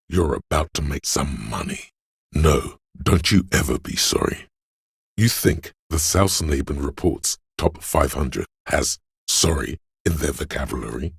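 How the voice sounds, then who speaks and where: A man playing a slick, money-obsessed inner voice in a video game, a cajoling, flamboyant hype man with streetwise swagger and a gravelly delivery. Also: no echo, studio quality, full character voice. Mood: brash, goading. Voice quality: gravelly, very deep. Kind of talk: theatrical video game character monologue.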